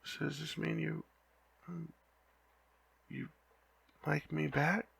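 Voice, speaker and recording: A young man playing a lovesick, flustered knight in an ASMR roleplay, talking softly and haltingly with frequent stammers. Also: fairly high pitch, level tone, gravelly, slightly rough, exaggerated voice. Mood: flustered, giddy. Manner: halting, stammering, soft-spoken.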